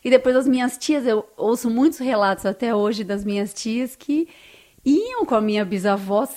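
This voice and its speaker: A woman's voice: fairly high pitch, expressive, normal speed, warm tone. A woman from Brazil with a Brazilian Portuguese accent, speaking as an interview guest in a heartfelt, conversational storytelling way.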